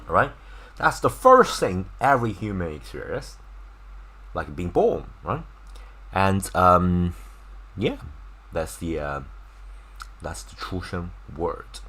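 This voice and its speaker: Male language teacher, native Chinese with Chinese accent. Low-pitched, unhurried, informal explanations with drawn-out, repeated example words. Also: fairly bright tone. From China. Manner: repeats and slowly stretches words to demonstrate pronunciation.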